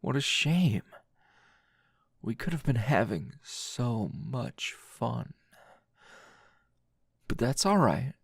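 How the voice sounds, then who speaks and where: A man playing a weary, teasing male teacher hero in an intimate ASMR roleplay, with a low gravelly voice and lingering pauses. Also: sing-song, dry recording, studio quality, heavily acted. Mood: teasing, seductive. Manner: slow, breathy murmuring with sighs and long pauses.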